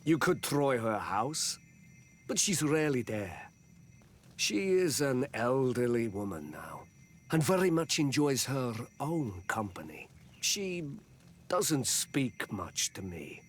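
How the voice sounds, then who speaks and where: An actor playing a measured male clan chief in a video game, solemn and deliberate. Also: mid-range voice, sing-song, slight background noise. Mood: matter-of-fact. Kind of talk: video game cutscene acting.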